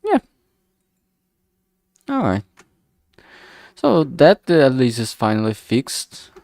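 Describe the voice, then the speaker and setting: A streamer talking casually while working, thinking aloud and muttering in a halting way. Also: mid-range voice, huge pitch swings, gravelly, darkish tone, dry recording, clean studio sound.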